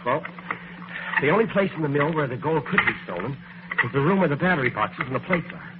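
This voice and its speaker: Detective's faithful helper, male actor, vintage radio serial; quick, worried, thin phone-like sound. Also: fairly high pitch, lively intonation, very noisy, close to an everyday voice. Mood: cooperative. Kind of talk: old-time radio drama acting.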